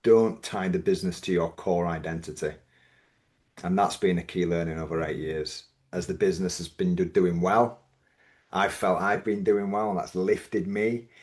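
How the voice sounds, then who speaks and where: Yorkshire man, middle-aged, deep voice, measured reflective guest. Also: fairly even intonation, a little mellow. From Yorkshire, England. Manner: thoughtful, measured, with frequent restarts. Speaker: business owner guest. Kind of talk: reflective podcast interview.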